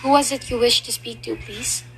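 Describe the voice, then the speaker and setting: A woman's voice: fairly high pitch, fairly even intonation, moderate pace, a bit bright, lightly acted. A woman playing a formal, unruffled telephone operator and receptionist in a radio play, polite, clipped and officious. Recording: faintly noisy radio-style recording.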